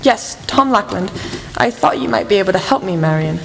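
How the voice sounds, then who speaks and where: A woman acting in an amateur radio play as a shrewd lady detective, speaking in a measured, frank and formal way. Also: medium pitch, animated, creaky. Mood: probing. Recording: echoey hall with faint noise.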